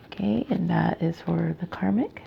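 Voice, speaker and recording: Tired, raspy, low-voiced woman. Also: slight background noise. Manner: halting, informal, chatty. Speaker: tarot reader, channel host. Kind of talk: casual card reading to camera. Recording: dull, muffled lo-fi sound.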